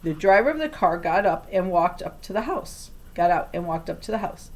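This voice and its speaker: A woman reading a children's novel aloud in an expressive storytelling style. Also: lowish voice, a little fast.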